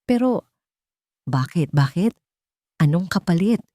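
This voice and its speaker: A woman's voice: on the low side, expressive, slow pace, dark tone, no room sound, studio quality. A woman voicing a bankrupt businesswoman who speaks in a shaky, sarcastic way, in a storybook drama. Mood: desperate.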